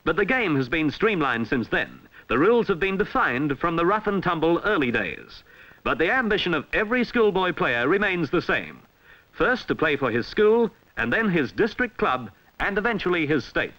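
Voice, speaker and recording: Bright, lively Australian male film narrator. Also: fairly high pitch, low-quality recording. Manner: formal, measured, emphatic delivery. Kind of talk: 1960s instructional film narration, scripted. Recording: vintage 1960s film soundtrack.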